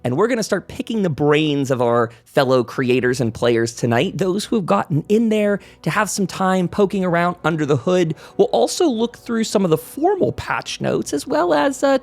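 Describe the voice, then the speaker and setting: Male host for a gaming round-table stream: informal, talkative, very animated pitch. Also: creaky. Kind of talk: chatty livestream hosting.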